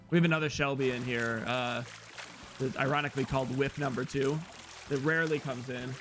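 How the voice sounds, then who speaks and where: A young man with a loud, slightly hoarse voice, rambling casually to his viewers as a live streamer while he plays a game. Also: mid-range voice, fairly even intonation, normal speed, slight background noise. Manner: loud, rambling, talks straight to chat. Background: faint guitar music.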